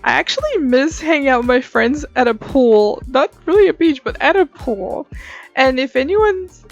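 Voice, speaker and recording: A woman's voice: high voice, animated, normal speed, lo-fi sound, faint music behind. A young woman telling a story in a casual, chatty way, with breezy, gushing, slangy asides. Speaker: host of an art story-time channel.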